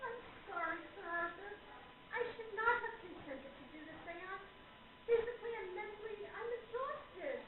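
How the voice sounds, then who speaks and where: A woman's voice: very high voice, moderate pace. A woman playing a wily, indignant spirit medium in a radio play, with a dramatic, declamatory delivery. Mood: weary apology. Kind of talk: stage radio play acting. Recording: echoey, noisy, tinny, far from mic.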